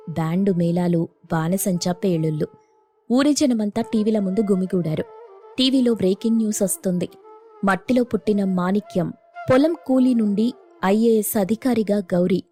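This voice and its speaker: Woman narrator, scripted storytelling, mellow timbre, faint music underneath. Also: mid-range voice.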